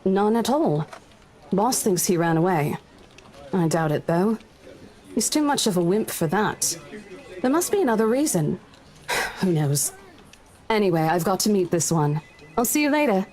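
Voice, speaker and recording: A woman playing a brisk, polite office agent in a visual novel game. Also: medium pitch, lively intonation, a little mellow, lightly acted. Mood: casual, brisk.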